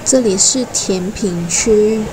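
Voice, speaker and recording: A woman giving a casual, unhurried food tour of a hotel buffet, naming the dishes one by one. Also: medium pitch. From Malaysia. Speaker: food vlogger. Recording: restaurant noise behind the voice.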